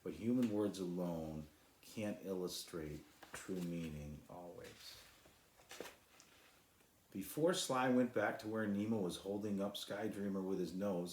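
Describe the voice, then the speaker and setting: Low-voiced man reading a storybook, echoey. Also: darkish tone. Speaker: author reading his own children's book. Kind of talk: storybook narration. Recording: echoey room.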